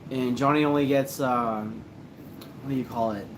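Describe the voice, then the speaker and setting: A man hosting a casual livestream, relaxed and joking as he reads comments after a few drinks. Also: medium pitch, normal speed, noisy background. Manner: relaxed, joking, a little tipsy. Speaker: host of a paranormal channel livestream.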